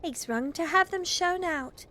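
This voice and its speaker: A woman's voice: very high voice, unhurried, pushed and bright, slight background noise, pitched above the performer's own voice, full character voice. A woman voicing an eager, earnest young girl in a storybook reading. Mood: expectant.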